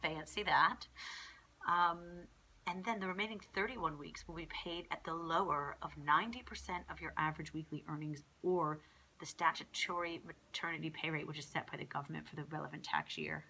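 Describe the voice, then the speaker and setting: A woman's voice: low voice, steady tone, brisk, gravelly, bright, forceful tone, slight background noise, low-quality recording. A young woman from the south of England with a congested cold, chatting through a casual pregnancy vlog in an exasperated, rambling way, full of fillers. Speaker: vlogger.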